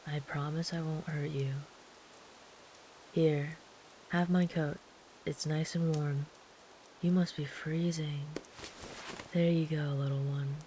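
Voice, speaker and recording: A woman playing a big gentle forest traveler. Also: deep voice, takes it slowly, pauses often, a little hiss or hum, low-quality recording. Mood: soothing. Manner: soft, soothing, calm, at times whispered. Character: big, gentle, protective traveler camping in the woods. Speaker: ASMR roleplay performer. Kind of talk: ASMR comfort roleplay.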